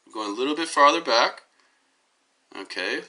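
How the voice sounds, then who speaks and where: A hoarse man talks into a phone he holds up himself, drifting off on tangents and restarting his sentences. His voice has a bright, sharp edge despite the rasp, and his pitch sits in an ordinary middle range. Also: lively intonation, normal speed, poor audio. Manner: tangential, many fillers and restarts. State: hoarse from a cold. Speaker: DJ giving his side of a dispute. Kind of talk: rambling on-camera commentary while browsing web pages. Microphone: phone held up at arm's length.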